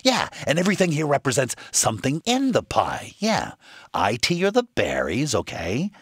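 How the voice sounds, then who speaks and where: Glib, wildly animated corporate manager. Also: male voice, mid-range voice, breathy edge, no echo, studio quality, full character voice. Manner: jargon-heavy, glib business patter. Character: chattering corporate manager, full of hollow self-assurance. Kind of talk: video game character acting.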